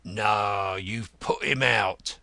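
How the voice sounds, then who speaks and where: Man doing a gruff, growling retired detective. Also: medium pitch, sing-song, takes it slowly, pushed and bright, dry recording, full character voice. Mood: gruff, blunt. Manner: blunt, growling, mocking. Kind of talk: audiobook character voice.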